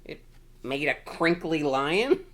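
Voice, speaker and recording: A man's voice: on the high side, expressive, slow, a bit bright. A man reading a children's picture book aloud in a playful, exclamatory manner with dramatic emphasis. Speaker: storytime reader.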